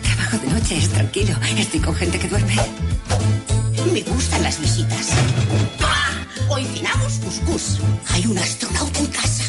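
An actress dubbing a faded 1980s film star who answers in a breezy, lively way, heard in a film clip. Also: female voice, medium pitch, unhurried. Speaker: dubbed film character. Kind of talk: dubbed film dialogue. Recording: film clip under loud music.

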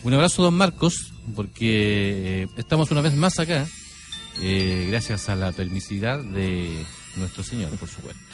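A man's voice: on the low side, lively intonation, moderate pace. A Chilean man co-hosting live radio, chatting in a courteous, formal way. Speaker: radio co-host. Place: radio studio. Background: background music.

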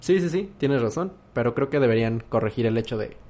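A man's voice: mid-range voice, expressive, fast talker, darkish tone. A man with a Mexican accent co-hosting a podcast in casual chat, playful and dropping English phrases. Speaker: podcast co-host.

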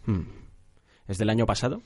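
Man as a low, reverberant young schemer. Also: expressive, normal speed, lightly acted. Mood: scheming. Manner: scheming, disdainful. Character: arrogant, calculating young male secret killer. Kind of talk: radio drama acting. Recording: echoey long reverb, faint music.

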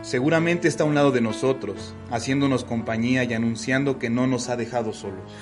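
Radio soap role: man playing consoling, bereaved middle-aged husband, medium pitch, light music bed. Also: brisk, natural voice. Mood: tender, wistful. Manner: soft-hearted, hesitant, consoling. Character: gentle, apologetic grieving middle-aged husband. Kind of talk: radio soap opera acting.

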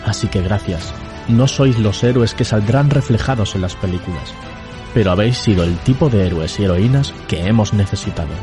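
A man's voice: lowish voice, fairly quick, over music, lightly acted. A man playing a coaxing, wry scriptwriter in an audio drama. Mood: grateful, solemn. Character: male scriptwriter, coaxing and wry with his creations. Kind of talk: audio fiction acting.

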